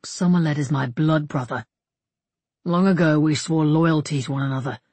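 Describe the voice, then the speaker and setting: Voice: medium pitch, steady tone, moderate pace, darkish tone, husky, no echo. A narrator voicing a blunt, proud male warrior. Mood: curt, defiant. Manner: curt, defensive, blunt. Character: blunt, proud young warrior man, dutiful and wary. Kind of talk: audiobook character dialogue.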